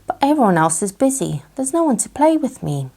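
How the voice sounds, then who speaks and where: A woman voices a young male storybook bear, wistful and gentle, with very lively pitch. He speaks politely and earnestly, in simple plain words. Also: brisk. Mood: wistful, sad. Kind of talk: children's bedtime storybook reading.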